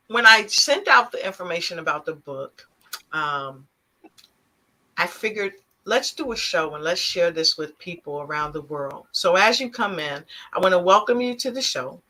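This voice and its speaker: A woman hosts an online talk show, upbeat and full of enthusiasm. Her voice is bright and forceful, coming through a video call. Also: lowish voice, expressive, unhurried. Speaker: talk show host and author.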